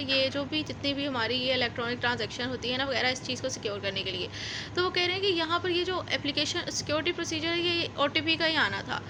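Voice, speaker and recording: A woman's voice: sits high, background noise. A woman with a Pakistani accent gives an explanatory law lecture, going over points informally and repeating them as a teacher does. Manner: reads formal text slowly, then explains informally with repetition.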